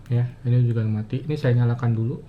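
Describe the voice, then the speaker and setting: A muffled, slightly dull recording with noticeable background noise carries a man's voice at a medium pitch. He walks through a demonstration casually, step by step, pausing often and thinking aloud as he goes. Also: steady tone. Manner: halting, thinking aloud with frequent pauses. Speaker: motovlogger giving a gear tutorial. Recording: muffled, dull audio with clear ambient noise.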